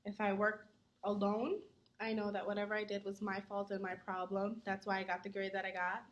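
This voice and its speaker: A woman's voice: medium pitch, monotone, lo-fi sound. Earnest young woman answering interview questions. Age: young adult. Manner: earnest, many "um" fillers; says she talks fast when nervous. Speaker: student interviewee.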